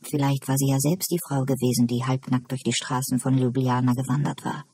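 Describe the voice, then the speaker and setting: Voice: mid-range voice, level tone, a little fast, warm tone, husky, dry recording. A narrator reading a novel aloud in a scripted literary style. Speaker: audiobook narrator.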